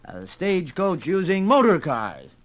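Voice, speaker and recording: A folksy mine worker with schemes in an old radio play. His voice sits a little high and moves up and down a lot as he makes his plainspoken pitch. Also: huge pitch swings, a little slow, heavily acted. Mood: confiding, persuasive. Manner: folksy, persuasive. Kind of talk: 1950s radio drama acting.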